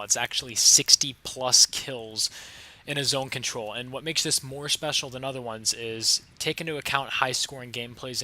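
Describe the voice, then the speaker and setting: A young man with a slightly raspy, sick voice, casually commenting over his own gameplay footage with a chatty, laid-back manner. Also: medium pitch, bright, forceful tone, low-quality recording. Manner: casual, laid-back, chatty with frequent fillers and short laughs. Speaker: gaming channel host.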